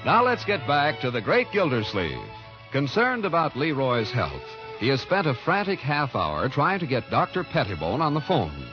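A man's voice: mid-range voice, big pitch swings, moderate pace, over music. A man working as a radio announcer, delivering scripted narration and advertising copy in a 1940s style. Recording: muffled vintage radio broadcast.

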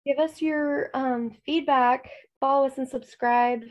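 A woman's voice: high voice, steady tone, measured pace. A woman co-hosting a true-crime podcast, chatting casually with a stuffy nose, rambling with lots of fillers and laughs. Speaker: podcast co-host.

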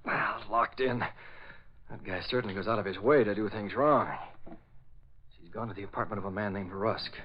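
An actor playing a resolute masked crime-fighter in 1940s radio style, urgent, clipped and dramatic. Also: male voice, lowish voice, fairly even intonation, normal speed, lightly acted. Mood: tense, cautious. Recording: muffled old radio recording, noisy background.

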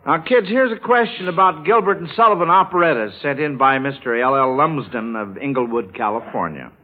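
A man's voice: mid-range voice, animated, brisk. A man hosting a children's radio quiz, encouraging his panel, often hesitating and repeating himself. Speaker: quiz show host. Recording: tinny narrow-band vintage radio broadcast.

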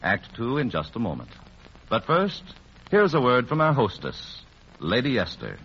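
A man's voice: medium pitch, huge pitch swings, measured pace, breathy edge. A man announcing formally in the style of 1940s radio drama. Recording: muffled old radio recording, music.

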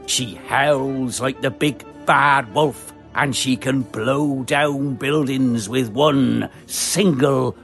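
A man's voice: medium pitch, very slow, vocal fry, few pauses, a bit bright, soft background music, pitched below the performer's own voice, full character voice. A man voicing a salty old dockside diesel engine who talks like a seafaring yarn-spinner.

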